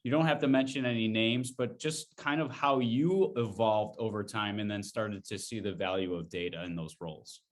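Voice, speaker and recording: A man's voice: fairly low pitch, normal speed, hardly any pauses. Enthusiastic man hosting a podcast. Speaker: podcast co-host.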